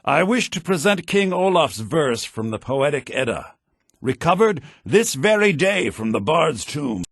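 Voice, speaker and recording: An actor playing a scholarly, theatrical headmaster of a bards' college in a fantasy video game, speaking in a formal, declamatory manner. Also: male voice, on the high side, sing-song, measured pace, slightly rough, dry recording, studio quality, heavily acted. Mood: grand recitation. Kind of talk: fantasy video game character dialogue, with verse recitation.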